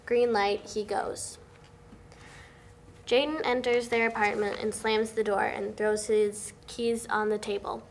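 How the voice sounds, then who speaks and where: A woman's voice: medium pitch, little pitch movement, measured pace. A woman reading out scripted stage directions as the narrator at a table read. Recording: noticeable background noise, amateur room recording.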